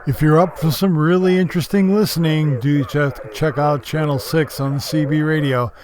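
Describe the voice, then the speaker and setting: A man's voice: a little high, fairly even intonation, moderate pace, darkish tone. A man giving an informal, explanatory product review in a casual narrating style.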